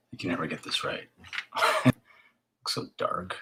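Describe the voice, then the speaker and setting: Low raspy guy rambling on a live stream, chatty, drops to a whisper sometimes. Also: expressive, slow pace, heavy background noise. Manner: hushed at times, drifting into whispers; chatty, with fillers and restarts. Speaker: filmmaker hosting a live stream.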